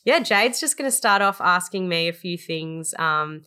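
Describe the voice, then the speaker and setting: A woman's voice: fairly low pitch, animated, normal speed, bright, forceful tone, smooth. An Australian woman in her twenties, co-hosting a podcast in a chatty, rambling way and laughing easily. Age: 20s. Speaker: podcast co-host. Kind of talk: casual chat.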